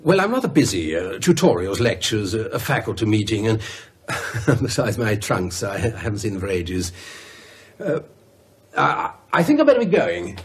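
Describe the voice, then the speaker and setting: An actor playing a nervous, bookish middle-aged professor in an audio horror drama, hesitant, stammering and polite. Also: male voice, on the low side, huge pitch swings, moderate pace, breathy edge, exaggerated voice. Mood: awkward, evasive.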